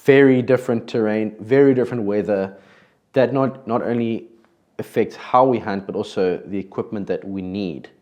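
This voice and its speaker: A man's voice: lowish voice, moderate pace, muffled recording. A man from South Africa with a South African accent, hosting a podcast in a casual, conversational way with frequent restarts. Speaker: podcast host.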